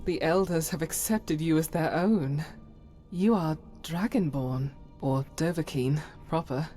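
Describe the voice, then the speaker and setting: A woman's voice: deep voice, unhurried, warm tone, faint music behind. A woman voicing a solemn, devout adventurer companion in a fantasy game, speaking earnestly in formal, archaic phrasing. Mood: earnest, encouraging. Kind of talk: scripted fantasy game dialogue.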